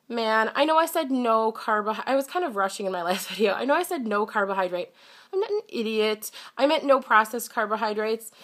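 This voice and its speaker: A woman's voice: on the high side, fairly bright tone. Congested young woman vlogging candidly. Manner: candid, slightly defensive, chatty. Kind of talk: casual talk to camera.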